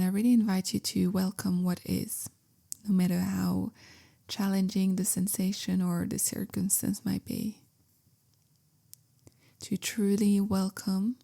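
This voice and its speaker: A woman guiding a meditation in a gentle, calm, measured way, softly spoken with whispery phrase endings. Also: medium pitch, creaky. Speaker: meditation guide, yoga teacher. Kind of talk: guided meditation, slow soothing instruction.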